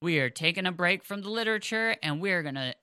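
Bright chatty woman podcaster. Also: on the low side, animated, fast talker, clean tone, dry recording, clean studio sound. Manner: chatty, rambling with fillers and restarts. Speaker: podcast co-host. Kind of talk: casual podcast chat. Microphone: headphones on, close mic.

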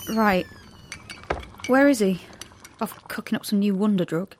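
An actress playing a young, rebellious daughter in an audio drama, speaking with sarcasm and raw emotion. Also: female voice, medium pitch, a little slow, a little mellow, slight background noise, lightly acted. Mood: tentative, wry.

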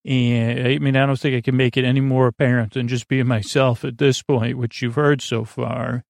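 Gravelly, rambling man hosting a sleep podcast. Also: medium pitch, little pitch movement, no echo, studio quality. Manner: meandering, halting, trailing off mid-thought; goofy, whimsical. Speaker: podcast host.

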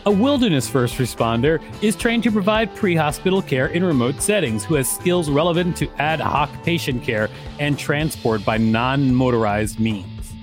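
A man's voice: on the high side, animated, moderate pace, faint music behind. A man co-hosting a podcast, reading encyclopedia text aloud. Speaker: podcast co-host and reader.

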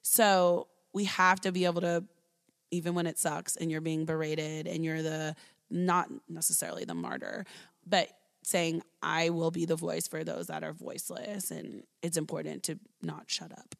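A woman's voice: sits low, moderate pace, gravelly. A woman in her thirties, an early childhood educator, telling her personal story in an interview, earnest and talky with lots of "like" and "right?".

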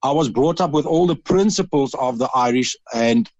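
A man's voice: medium pitch, a little mellow. A South African man speaks earnestly on a radio call-in, often stopping and restarting. His voice has a slightly dull, muffled sound, and the room adds little echo. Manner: earnest, halting, with frequent restarts. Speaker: radio caller. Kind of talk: radio interview.

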